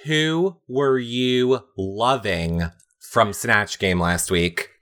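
A man hosting a casual recap show, playful and animated, with sing-song asides. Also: medium pitch, very slow. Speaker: podcast host.

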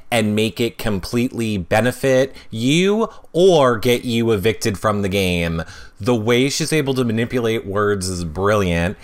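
A man's voice: medium pitch, a bit bright, clear, resonant tone. A man chatting casually as a podcast guest, giving opinions emphatically.

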